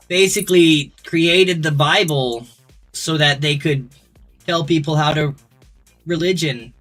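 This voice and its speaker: A man's voice: a little high, slow, long pauses, fairly bright tone. A male gaming streamer, chatting and ranting casually to viewers in a rambling, droning way with many restarts. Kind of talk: casual livestream chat. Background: clear background music.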